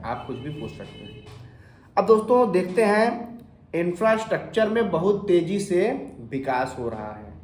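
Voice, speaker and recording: A man with a fairly high voice talks viewers through an investing explainer while nursing a sore throat, clearing it now and then. He keeps it conversational, posing questions and answering them himself, and the room around him rings with echo. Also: darkish tone, a little hiss or hum. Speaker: finance explainer channel host. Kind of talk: informal explainer talk to camera.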